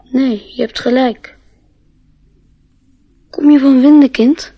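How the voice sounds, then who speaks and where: Tender but defiant schoolboy for radio drama: slightly raspy, raised pitch, unhurried. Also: female voice, steady tone, no room sound, highs cut off, exaggerated voice. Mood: earnest, questioning. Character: dreamy, earnest young schoolboy, tender and defiant.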